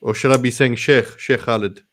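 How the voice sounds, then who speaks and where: A man's voice: medium pitch, steady tone, normal speed. A man hosting a casual interview show, speaking in an informal, matey way with Arabic phrases sprinkled in. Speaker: talk show host.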